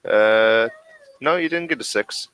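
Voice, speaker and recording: A man narrating a tabletop role-playing game as game master in a casual, halting way, thinking aloud as he goes. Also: medium pitch, a bit bright, clean tone, dry recording. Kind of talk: casual, improvised game narration and rules talk.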